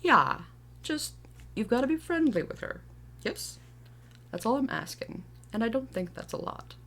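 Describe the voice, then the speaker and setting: A woman's voice: a little high, sing-song, a little slow, fairly bright tone, voice pushed up, full character voice. Woman voicing a blunt, informal enchanted tree spirit. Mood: pleading. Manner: informal, attempting a British accent.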